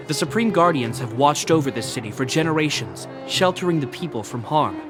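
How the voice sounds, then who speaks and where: An actor playing a formal, dutiful captain of a fortress city in a video game, measured and earnest. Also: male voice, medium pitch, lightly acted. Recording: music behind the voice.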